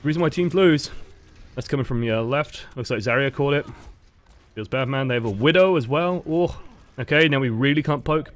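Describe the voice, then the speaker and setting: A man's voice: medium pitch, moderate pace. A man with a dry voice, giving casual, explanatory coaching commentary over gameplay footage. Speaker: game coach.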